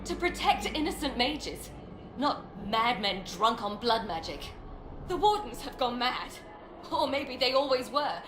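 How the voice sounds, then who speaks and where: A woman's voice: fairly high pitch, very animated, unhurried, noisy background. A woman playing a stern, righteous rebel mage in a fantasy video game, firm and accusatory. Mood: indignant. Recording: echoey, music behind.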